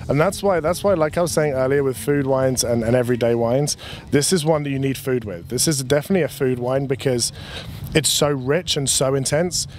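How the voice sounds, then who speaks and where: A young man at an outdoor table at a winery, explaining wine in a relaxed, chatty way with many restarts, into a handheld mic. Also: medium pitch, fairly quick. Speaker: winery representative. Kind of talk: casual explanatory talk. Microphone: handheld mic held by the interviewer.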